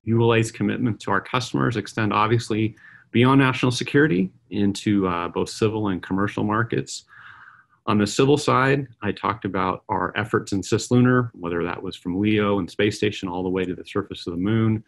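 A middle-aged man presents a conference keynote as an aerospace executive. His voice sits low and has a gravelly fry. He talks in a measured way, often pausing on uh and um as he moves through his points. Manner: measured, with frequent uh and um fillers.

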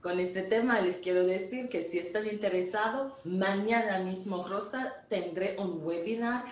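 A woman's voice: medium pitch, measured pace. A woman from Haiti in her forties, an image consultant, explaining earnestly over a video call from her home studio, with small restarts as she talks. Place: home studio room indoors. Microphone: video call from a laptop camera. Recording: echoey distant video-call audio.

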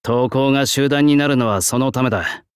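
An actor playing a gruff swordsmith, an old soul in a young man's body, with blunt old-fashioned artisan speech in a game. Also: medium pitch, moderate pace, dry recording, studio quality, lightly acted. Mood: gruff, reflective. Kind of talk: Japanese game character voice lines.

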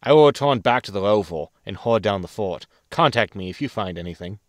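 A man's voice: on the low side, lively intonation, brisk, dry recording, studio quality, lightly acted. A man playing a wry, exasperated spaceship captain in a sci-fi comedy audio drama, sarcastic and grumbling as he gives orders. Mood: wry, commanding.

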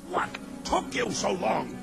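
A man's voice: sits high, huge pitch swings, slow, vocal fry, heavily acted. A man voicing a cranky old sage in a video game, pompous, impatient and scolding. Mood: indignant. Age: older. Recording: game music behind the voice.

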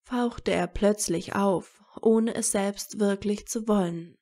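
A woman's voice: mid-range voice, slow, warm tone. A woman reading scripted story narration as the narrator of an audio fiction.

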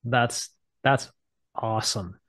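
Upbeat male host, 40s, mid-pitched and affirming, dry video-call sound. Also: slow, fairly bright tone, no room sound. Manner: enthusiastic, affirming. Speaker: interviewer host. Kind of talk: casual interview talk. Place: home office with bookshelves. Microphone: earphone mic on a video call.

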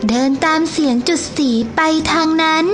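A woman teacher narrating a children's storybook slowly and gently. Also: high voice, music in the background. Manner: slow, gentle and clearly paced for children.

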